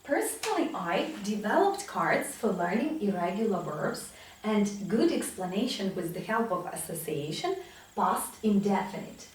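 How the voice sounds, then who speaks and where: A woman in her thirties giving a pronunciation lesson as a teacher, enunciating example words deliberately and emphatically. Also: medium pitch, big pitch swings, a little hiss or hum. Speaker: English teacher. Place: small indoor classroom with whiteboard. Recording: very echoey room, distant microphone.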